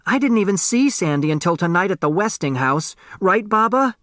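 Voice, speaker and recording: A man's voice: high voice, animated, moderate pace, fairly bright tone, dry recording, studio quality, voice pushed up. A man voicing a defiant, grieving young girl in a storybook, angry and protesting. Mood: indignant shouting.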